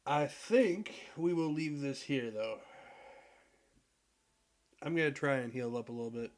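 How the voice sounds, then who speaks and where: A man with a deep voice, hoarse from a cold, giving relaxed, rambling commentary while playing a puzzle game. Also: unhurried. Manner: relaxed, rambling, clears throat and laughs at himself. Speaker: gaming video creator. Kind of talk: casual gameplay commentary.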